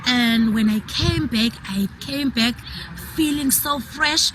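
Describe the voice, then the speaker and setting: South african woman, slow and a bit high, talking plainly into a video, noisy background. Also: a bit bright. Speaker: teacher sharing a testimonial. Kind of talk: recorded video testimonial.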